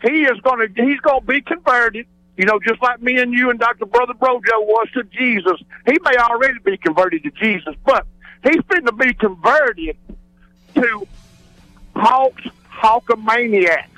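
A man's voice: extremely high pitch, normal speed, bright, forceful tone, dry recording, faint music behind. A man with a Southern US accent phoning a radio show, rambling in an exuberant, folksy way. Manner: exuberant, digressive, folksy showman. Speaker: regular radio phone-in caller. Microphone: telephone. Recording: radio broadcast phone call.

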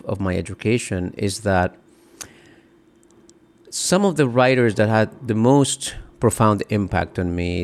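A middle-aged man from the Dominican Republic, an author answering interview questions in a halting, thoughtful way with many restarts. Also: on the low side. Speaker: author and guest.

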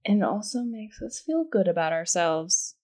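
A woman's voice: mid-range voice, very animated, normal speed, a little mellow, breathy edge. A woman hosting a podcast, delivering a scripted announcement to listeners. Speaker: podcast co-creator and host.

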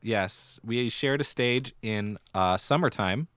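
A man's voice: on the low side, slow, a bit bright, clear, resonant tone, no room sound. An American man co-hosting a podcast, chatting casually with a wry, dry sense of humor. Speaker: podcast co-host. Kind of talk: casual theatre news chat.

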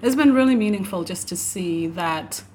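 A woman from South Sudan and Ethiopia chats as a podcast guest. She tells lively stories, slips into the voices of people she quotes and laughs easily. Steady background noise sits under her voice. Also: medium pitch, moderate pace. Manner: animated storyteller, acts out quotes, laughs often. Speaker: podcast guest, adjunct professor. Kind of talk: casual podcast interview.

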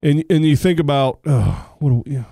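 A man's voice: a little high, lively intonation, a little fast, creaky, mellow, dry recording, studio quality. A middle-aged American man co-hosting a podcast in casual chat, joking, sarcastic and rambling. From United States. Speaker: podcast co-host.

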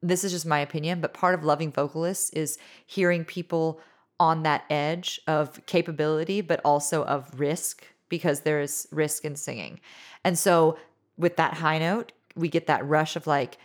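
A woman in her thirties with a deep, low-pitched voice chats as a musician guest in an interview. She rambles loosely, leaning on "like" and "you know" as she goes. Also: moderate pace. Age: 30s. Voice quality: low singing voice. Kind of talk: casual interview chat.

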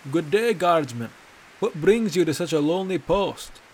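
A boisterous, needling Nord hunter, as a man reads him aloud, his voice lifted well above the storyteller's own and louder. A faint hiss sits under the recording. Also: fairly high pitch, expressive, normal speed, pitched above the performer's own voice, lightly acted. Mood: teasing. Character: gruff jovial male Nord hunter, teasing.

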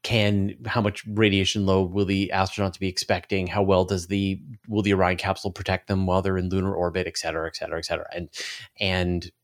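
Guy w a lowish voice explaining stuff as an interview guest, kinda halting, thinks out loud. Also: flat delivery. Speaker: interview guest, space commentator. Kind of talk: explanatory podcast interview talk.

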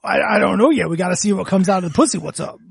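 A man's voice: sits high, lively intonation, very fast, gravelly, slightly rough, dry recording, clean studio sound, full character voice. A man improvising a male game company executive who tacks a catchphrase onto every sentence. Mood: deadpan. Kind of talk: improvised comedy sketch.

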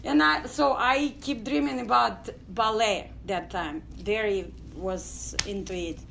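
A middle-aged woman from Ukraine with a Ukrainian accent, telling her story as an interview guest in halting English full of fillers. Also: medium pitch, gravelly, fairly bright tone, soft background music. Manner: halting non-native English with many fillers. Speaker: guest, dance company founder.

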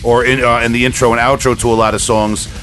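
A man's voice: mid-range voice, flat delivery, fast talker, a bit bright, slight background noise. A man hosting a casual music podcast, talking in a rambling, enthusiastic fan's way. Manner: rambling, enthusiastic fan talk. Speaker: podcast host. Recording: music playing behind the voice.

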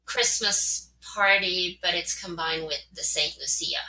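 A Swedish woman in her forties with a Swedish accent, a translator whose native tongue is Swedish, answering interview questions thoughtfully and pausing to find her words. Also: fairly low pitch, sharp tone, slight background noise. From Sweden. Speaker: guest, translator. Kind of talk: conversational interview. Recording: roomy echo.

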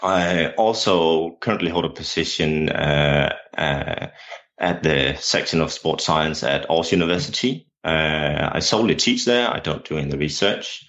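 A man's voice: very deep voice, a little slow, fairly bright tone. A man with a Danish accent, a coach answering interview questions in English, hesitant and full of fillers and restarts. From Denmark. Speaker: jumps coach and lecturer. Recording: dull lo-fi sound.

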